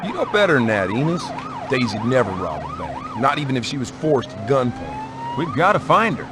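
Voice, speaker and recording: A man's voice: mid-range voice, wildly swooping pitch, normal speed. An actor playing a determined, loyal young country man in a video game. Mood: concerned, determined. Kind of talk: scripted video game acting. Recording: clear car and siren noise.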